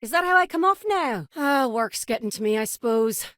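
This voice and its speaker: An actress voicing a weary, sardonic clinic doctor in a video game, with a British or Irish accent and brisk, colloquial bedside patter. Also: female voice, fairly high pitch, animated, fairly bright tone, clean tone, no echo, clean studio sound. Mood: exasperated. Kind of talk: scripted game dialogue.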